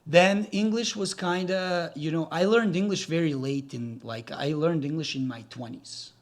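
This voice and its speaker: Serbian-born man, halting friendly talk. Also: a little high. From Serbia, raised in Gatineau, Quebec. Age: 40s. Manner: hesitant, many fillers and restarts, friendly. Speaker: podcast guest, real estate developer. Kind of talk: casual interview talk.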